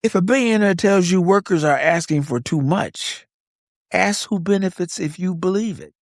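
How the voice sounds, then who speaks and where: A man's voice: sits high, normal speed, no room sound, clean studio sound. A man co-hosting a podcast, giving scripted, conversational commentary in short, wry statements. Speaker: podcast co-host.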